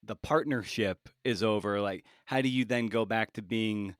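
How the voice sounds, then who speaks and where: Low-voiced man, halting interviewer. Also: dry recording, clean studio sound. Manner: halting, rambling questions. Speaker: podcast host, interviewer. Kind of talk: conversational interview.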